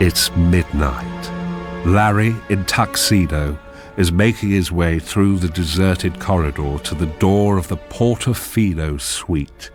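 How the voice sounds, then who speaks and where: A man narrating a radio drama in a measured storytelling manner, reading out the scene directions. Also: low voice, music in the background.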